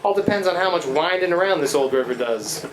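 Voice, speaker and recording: A man's voice: on the high side, animated, a little fast, a crowd in the background, close to an everyday voice. A man acting a scruffy, good-hearted riverboat skipper in a live radio play, folksy and a little stammering, plucky and adoring. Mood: adoring, jaunty. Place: live theatre performance in a reverberant room. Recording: echoey live theatre room.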